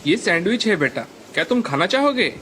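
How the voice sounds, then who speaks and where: A woman's voice: mid-range voice, very animated, fairly quick, a bit bright, slight background noise, pitched below the performer's own voice. A woman voicing a kindly, fatherly older male traveller in a moral storybook tale. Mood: kind, offering. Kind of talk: moral storybook character dialogue.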